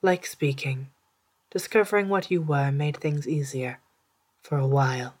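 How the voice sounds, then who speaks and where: A storyteller of ambiguous gender narrating a literary tale in a soft, hushed, breathy voice, with measured and deliberate phrasing. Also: mid-range voice, fairly even intonation. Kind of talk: scripted literary narration in second person, storytelling.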